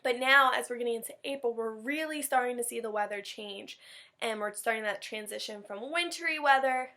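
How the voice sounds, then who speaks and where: A woman's voice: on the high side, brisk, pushed and bright. A woman teacher chatting informally and animatedly to young children during a read-aloud.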